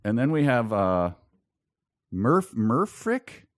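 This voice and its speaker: A man's voice: mid-range voice, very animated, slow pace, no room sound. A man co-hosting a casual book discussion, talking and reading aloud with dry, mock-serious humor. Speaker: podcast co-host.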